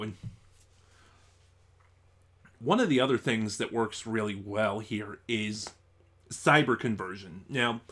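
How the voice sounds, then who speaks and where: A man's voice: mid-range voice, fairly bright tone. A man giving a casual, unscripted review as an online reviewer, rambling and thinking aloud in a stop-and-start way.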